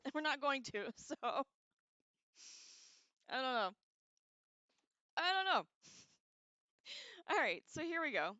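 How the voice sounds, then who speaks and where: Bright, animated woman streamer. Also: high voice, no room sound, dull audio. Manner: irreverent, sarcastic, slangy; expressive, animated asides. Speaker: livestream host. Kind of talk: casual read-aloud with joking commentary.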